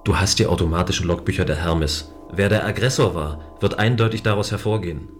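A man plays a male guardian on a space station, gentle and dutiful. His low voice explains things earnestly and considerately, with music underneath in a sci-fi radio play. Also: brisk, lightly acted. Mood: sympathetic. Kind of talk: science fiction audio drama acting.

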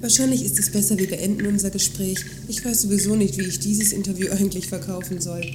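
A woman's voice: mid-range voice, background noise, close to an everyday voice. Woman acting haughty, wordy women's magazine journalist. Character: condescending, talkative women's magazine journalist, sensation-hungry. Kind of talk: scripted radio play dialogue. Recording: music behind the dialogue.

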